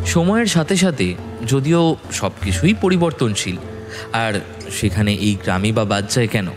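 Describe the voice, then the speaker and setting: A man narrating a scripted horror story in a dramatic, expressive storytelling manner with measured phrasing. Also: mid-range voice. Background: background music.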